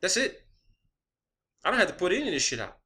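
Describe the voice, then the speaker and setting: Man, high-pitched voice, bright forceful tone, blunt, swearing heavily, off-the-cuff online rant. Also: very animated, fast talker. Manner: profane, blunt, emphatic. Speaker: online video creator. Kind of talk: unscripted monologue rant.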